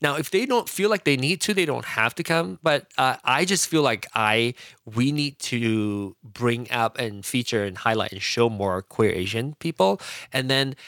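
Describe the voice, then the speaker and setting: A man from Malaysia whose first language is Hokkien, talking casually as a podcast guest, with frequent restarts and pitch swings. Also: no echo, studio quality. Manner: halting, many restarts, lively pitch swings. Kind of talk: casual conversational interview.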